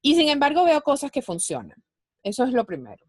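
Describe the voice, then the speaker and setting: A woman with a fairly high voice talks through her ideas in an interview. She explains patiently and thinks aloud as she goes, and her sound is close and dry, with no room around it. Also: huge pitch swings, fairly quick, dry recording. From Venezuela. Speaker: guest, finance speaker. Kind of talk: conversational interview.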